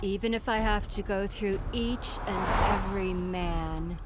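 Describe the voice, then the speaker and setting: A lonely, deranged woman , played by an actress, narrates a video game cutscene. She is wistful, self-pitying and vain, fixated on finding love, and speaks at a middling pitch under very loud music. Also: measured pace, natural voice. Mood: obsessive, lovelorn.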